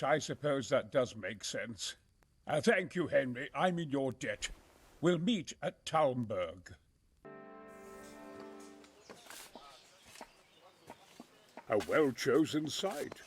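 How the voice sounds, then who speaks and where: An actor playing a nervous, middle-aged military engineer and former crusader in a video game, speaking earnestly in formal, old-fashioned phrasing. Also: male voice, medium pitch, animated, slow pace. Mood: grateful. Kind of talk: dramatic video game acting.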